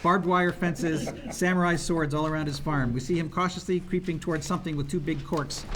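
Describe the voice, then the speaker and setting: Male script reader, fairly high voice, narrating scene action at a live read, light room noise. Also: fairly even intonation, brisk. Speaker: reader of script stage directions.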